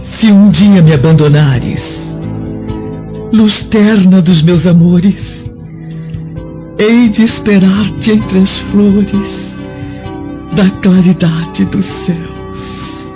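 An actress playing a gentle, devoted Roman senator's wife in a radio drama, with tender, reverent, drawn-out delivery. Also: female voice, medium pitch. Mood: tender devotion. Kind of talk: radio drama acting, slow solemn recitation. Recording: dull lo-fi, clear music behind.

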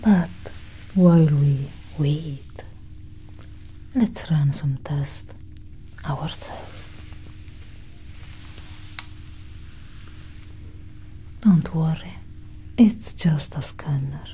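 A cool, curious alien abductress acted by a woman whispers in a low, very dark and extremely breathy voice. She speaks softly and intimately to her human specimen, with a condescending air, as in a close-miked ASMR roleplay. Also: full character voice, rough recording. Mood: intrigued. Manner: slow, soft, condescending, intimate. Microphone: close microphone.

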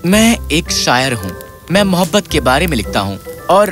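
A man voicing an earnest, excitable, lovelorn young poet in a cartoon fairy tale, with dramatic delivery. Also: fairly high pitch. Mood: earnest pleading. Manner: dramatic, pleading, repeats himself. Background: music.

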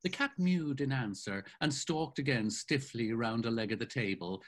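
An older man narrating a novel in a savoring, expressive reading style over a video call. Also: medium pitch, normal speed. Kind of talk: dramatic literary reading. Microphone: video call webcam.

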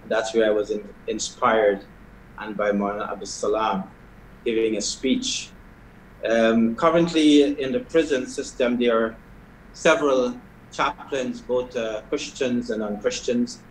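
A middle-aged man from Guyana, a prison chaplain, answering questions in a measured way with hesitations over a video call. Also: mid-range voice, fairly even intonation, slight background noise. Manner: measured, soft-spoken with hesitations. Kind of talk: interview answers. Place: video call from an indoor hall. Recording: echoey video call audio.

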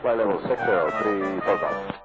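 A man's voice: low-pitched, moderate pace, loud music behind the voice. A man working as a pilot, reading back instructions over aviation radio. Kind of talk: radio readbacks. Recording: tinny cockpit radio with loud static.

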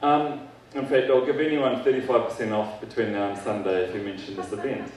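A man's voice: lowish voice, fairly even intonation, a little fast. A man giving a keynote speech on stage with a handheld mic, casual and self-deprecating. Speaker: keynote speaker, company founder. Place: large event hall on stage. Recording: echoey hall, distant mic, faint noise.

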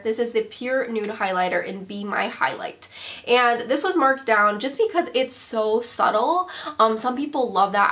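A woman in her twenties with a congested cold, chatting to camera as she reviews makeup in her bedroom, with quick self-deprecating asides. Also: fairly high pitch, fairly bright tone. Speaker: beauty vlogger. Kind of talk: casual product review to camera. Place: bedroom vanity, indoors.

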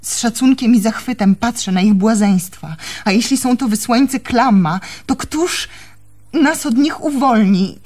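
High-voiced, troubled young woman in love. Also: unhurried, a little mellow. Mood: troubled, fascinated. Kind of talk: Polish radio play acting.

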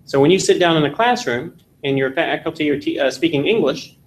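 A man from Texas in his forties, giving an animated classroom lecture and telling jokes in a seminary meeting room. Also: on the high side, brisk. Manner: animated storytelling with jokes, gesturing. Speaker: seminar instructor.

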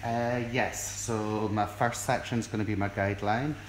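A man's voice: on the low side, fairly even intonation, measured pace. A middle-aged Scottish man giving an informal hairdressing demonstration in a friendly, explanatory way. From Scotland, born in South Africa. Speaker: hairdressing educator. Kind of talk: informal live tutorial demonstration. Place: indoors in a hair salon. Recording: echoey room with clear background noise.

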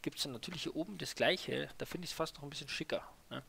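Man, gravelly voice, casual gameplay commentary, truck engine noise behind. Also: fairly high pitch, fast, pushed and bright. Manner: chatty, many fillers and tag questions. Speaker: gaming YouTuber presenting a mod. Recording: noisy game sound behind voice. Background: truck engine and game ambience.

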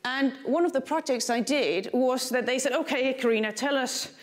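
A woman's voice: high voice, normal speed. A middle-aged woman with a Danish accent, a native Danish speaker, gives a keynote lecture. She walks the stage on a lapel mic in a large conference hall, adds dry asides, and puts questions to the audience. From Denmark. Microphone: lapel mic, walking the stage.

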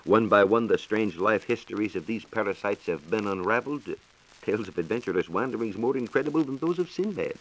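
Male American science reporter, 1940s radio. Lowish voice, highly varied intonation, formal and explanatory, hissy old disc. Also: lively intonation, fairly quick, a bit bright, low-quality recording. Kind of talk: 1940s scripted educational radio talk. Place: radio studio.

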